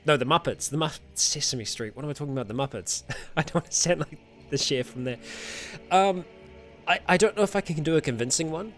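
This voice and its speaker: A man's voice: on the high side, a little fast, a bit bright, soft background music. A man hosting a casual livestream and answering viewer comments, rambling a little with fillers, self-corrections and frequent laughs. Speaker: streamer host.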